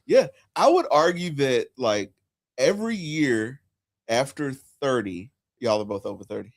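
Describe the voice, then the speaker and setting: A man of forty hosting a casual political chat, telling stories animatedly, swinging between loud and hushed. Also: mid-range voice, big pitch swings, measured pace. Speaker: podcast host.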